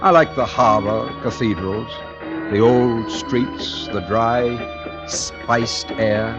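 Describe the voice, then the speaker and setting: An actor playing a brooding, fatalistic male painter who narrates his dark tale with moody, deliberate phrasing in old radio suspense style. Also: medium pitch. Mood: intense. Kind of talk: 1940s-50s radio suspense acting, first-person narration. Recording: dull old radio recording, music behind.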